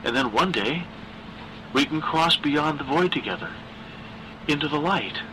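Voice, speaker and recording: High, pleading undead teenage boy. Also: animated, pushed and bright, a little hiss or hum, rough recording. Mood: tender, obsessive. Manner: halting, plaintive, insistent. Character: childlike, pleading undead teenage boy ghost, lonely and obsessive.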